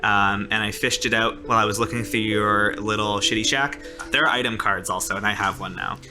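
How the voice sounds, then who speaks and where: A man's voice: fairly low pitch, fairly even intonation, brisk, music in the background. A man improvising narration as a player in a tabletop roleplaying game, in a casual, informal way. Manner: informal, peppered with "like" and "sort of".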